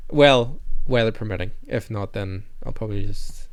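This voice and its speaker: A man's voice: on the low side, moderate pace. A man in his thirties co-hosting a podcast from a small office studio, chatting casually and rambling with a self-deprecating humour. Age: 30s. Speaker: podcast co-host. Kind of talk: casual chat. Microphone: studio mic on boom arm.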